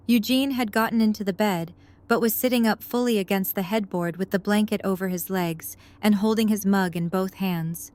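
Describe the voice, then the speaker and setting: A woman narrator with a medium-pitched voice reads scripted prose for an audio drama. She tells the story between the characters' lines. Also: a little mellow.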